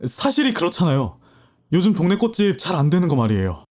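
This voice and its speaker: A man's voice: fairly high pitch, wildly swooping pitch, fast talker, darkish tone. A young man playing a troubled university student, talking hesitantly with frequent stammers. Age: young adult. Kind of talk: audio drama acting.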